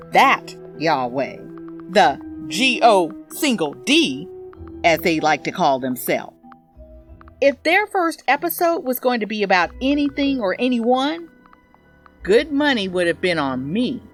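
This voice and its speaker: Casting: actress as indignant, sardonic woman ranting online, unhurried delivery, lively swooping pitch, comedy audio story. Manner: sardonic, self-righteous, emphatic. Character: petty, indignant woman posting a social media rant. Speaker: narrator, audio fiction reader. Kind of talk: scripted first-person comedy monologue.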